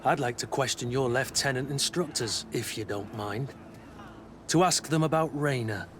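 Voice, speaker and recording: A man acts out a veteran soldier in a video game, brooding and suspicious. He talks bluntly in few words at a middle pitch, over clearly audible outdoor noise. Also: normal speed, lightly acted. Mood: tense. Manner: terse, blunt. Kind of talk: video game dialogue acting.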